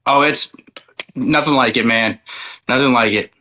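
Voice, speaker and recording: A man's voice: mid-range voice, unhurried, pushed and bright, low-quality recording. A man chatting casually as an interview guest, in a laid-back, informal way. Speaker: country singer-songwriter being interviewed. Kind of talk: casual chat.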